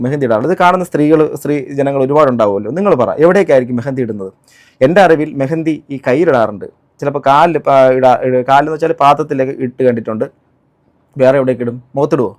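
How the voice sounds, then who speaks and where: A man's voice: mid-range voice, quick pace, a little mellow. A man giving spoken commentary on a clip, indignant and full of rhetorical questions. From Kerala, India. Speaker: reaction vlogger.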